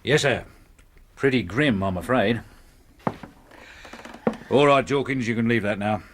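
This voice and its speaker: An actor playing a respectful, plain-spoken police inspector with an English accent in a radio drama, stolid and deferential. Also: male voice, medium pitch, unhurried, noisy background, lightly acted. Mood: businesslike.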